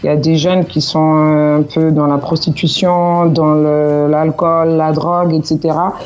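Woman from portugal, low mellow voice, lots of euh, a bit muffled. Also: level tone, a little slow, faint music behind. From Portugal, Cape Verdean parents. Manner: hesitant, many fillers, earnest. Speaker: association president. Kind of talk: radio interview guest.

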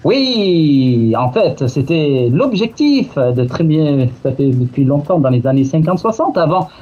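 Hesitant Marseille-born man, mellow tone. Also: fairly high pitch, lively intonation, measured pace, lo-fi sound, soft background music. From Marseille, France. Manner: hesitant, many restarts, laughs often. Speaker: guest. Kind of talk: interview answers.

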